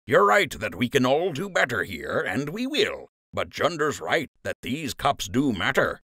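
A man voicing an aged male rabbit archery master in an audiobook, earnest, measured and authoritative. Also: mid-range voice, wildly swooping pitch, sharp tone, no room sound, studio quality, pitched above the performer's own voice, heavily acted. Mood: firm. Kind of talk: audiobook character acting.

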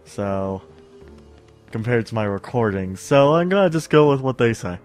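A man's voice: medium pitch, lively intonation, moderate pace, a little mellow, faint music behind. A stuffy-sounding young man on a studio mic, casually rambling through gameplay commentary with lots of fillers and humming. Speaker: let's play host.

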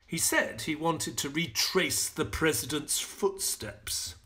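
Puzzled polite gentleman sidekick, takes his time, voice goes up and down a lot, audio play. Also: fairly high pitch, animated, slow pace, heavily acted. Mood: reflective. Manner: hesitant, earnest, old-fashioned politeness. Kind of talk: audio play acting and storytelling.

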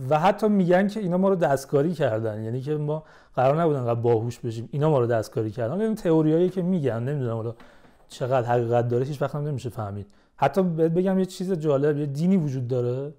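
A man's voice: on the high side, warm tone. A man speaking as a podcast guest, explaining things in casual conversation.

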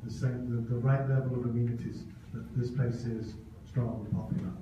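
A man's voice: mid-range voice, flat delivery, moderate pace, warm tone, a little hiss or hum. A middle-aged man who designed the plan, earnestly presenting it at a town hall. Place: large meeting room, indoors. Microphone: handheld mic. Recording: dull echoey hall, taped from far off.

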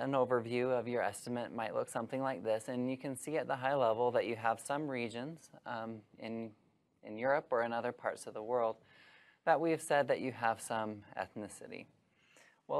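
A man in his thirties, a science team presenter, giving a measured scripted explainer from a studio set. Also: medium pitch, creaky.

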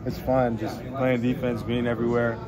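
A man's voice: medium pitch, normal speed. A young man, a college basketball player, answering reporters after a game in a casual, rambling way full of fillers. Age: young adult. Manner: relaxed, rambling, many fillers and restarts. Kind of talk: postgame media scrum. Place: arena hallway. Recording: crowd noise in arena hallway.

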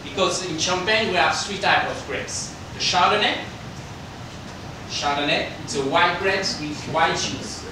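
Middle-aged male winemaker, French accent, slightly high voice, halting English, informal presentation picked up from a distance. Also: animated. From France. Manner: halting non-native English with simple phrasing. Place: indoor hotel function room. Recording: roomy, distant microphone with background noise.

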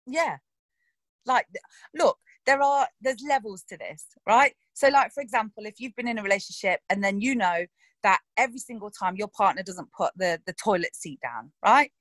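A woman's voice: mid-range voice, lively intonation, normal speed, fairly bright tone, dry recording. A woman, an actress talking frankly and animatedly in a casual interview, swearing freely and laughing often. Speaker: actress discussing her roles.

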